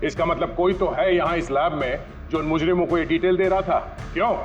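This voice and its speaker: An actor playing a stern, sarcastic police detective who questions suspects with pointed rhetorical questions in a TV crime drama. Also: male voice, a little high, brisk, muffled recording, over music, lightly acted. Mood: suspicious, pressing. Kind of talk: Hindi police procedural dialogue.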